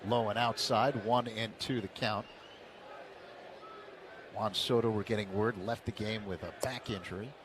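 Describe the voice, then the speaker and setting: A man calling a baseball game play-by-play on the radio, steady and then excited on big plays. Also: on the low side, a little slow, long pauses, background noise. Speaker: play-by-play announcer.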